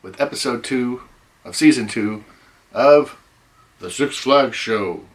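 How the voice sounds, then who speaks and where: A middle-aged man hosting a casual chat, rambling with many ums, his voice going hoarse. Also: mid-range voice, slow pace, poor audio. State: losing his voice. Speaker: host.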